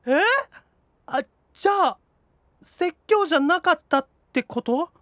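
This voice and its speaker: A man voicing a nervous, excitable young demon otaku in an anime-style audio drama, flustered and eager. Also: very high-pitched, a little slow, dry recording, studio quality, pitched above the performer's own voice, full character voice. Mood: startled relief.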